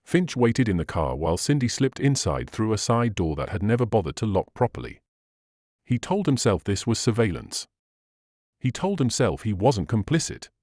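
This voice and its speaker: A man's voice: lowish voice, darkish tone, no room sound, studio quality. A man narrating crime fiction as a dramatic scripted audiobook reading.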